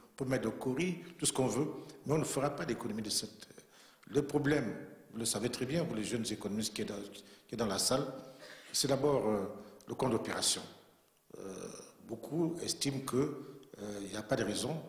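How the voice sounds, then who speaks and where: Man speaking earnestly in an echoey hall. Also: mid-range voice. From Central Africa. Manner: earnest, emphatic, repeats himself for weight. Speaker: conference panelist. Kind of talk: formal conference remarks. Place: conference room in a bank.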